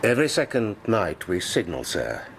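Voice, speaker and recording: An actor playing a deferential but stubborn manservant, formal, dignified and restrained, in a radio drama. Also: male voice, low voice, wildly swooping pitch, moderate pace, vocal fry, noisy background, exaggerated voice. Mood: pleading.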